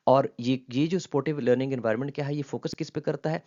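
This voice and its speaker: A man from Pakistan with a Pakistani accent, explaining a linguistics textbook chapter as a lecturer, mixing English terms into his Urdu and pausing often on fillers. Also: mid-range voice, fairly even intonation, mellow, muffled recording.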